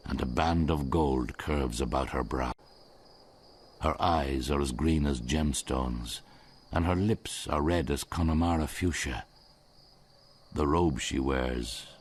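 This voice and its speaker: A man's voice: extremely low pitch, darkish tone, a little hiss or hum. A man narrating his own story in a radio drama, in a wry storytelling manner. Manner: wry, storytelling, first-person recollection. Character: man looking back on his own wild night.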